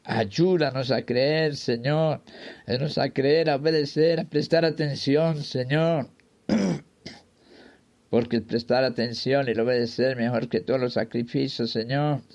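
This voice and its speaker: A man's voice: mid-range voice, steady tone, unhurried, darkish tone, muffled recording. A man leading a fervent spoken prayer, pleading in short repeated invocations. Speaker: prayer leader.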